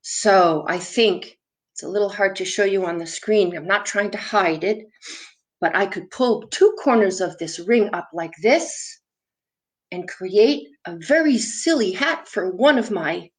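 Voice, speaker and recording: A woman's voice: mid-range voice, lively intonation, a little slow. A woman presenting to young viewers as a children's storyteller, explaining props and puppets simply and putting questions to them. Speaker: children's storyteller and theatre performer.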